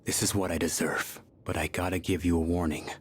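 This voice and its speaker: An actor playing a gruff, guilt-ridden young tough guy in a video game, with blunt and weary speech. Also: low-pitched, little pitch movement, fairly quick, slight background noise, close to an everyday voice. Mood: weary, resigned. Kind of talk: English video game voice acting. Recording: music under the voice.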